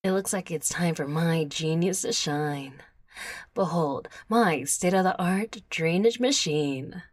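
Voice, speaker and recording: A woman's voice: on the low side, no echo. A woman playing a boastful, stubborn genius engineer in a sci-fi roleplay, pompous and theatrical. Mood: proud. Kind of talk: ASMR audio roleplay acting.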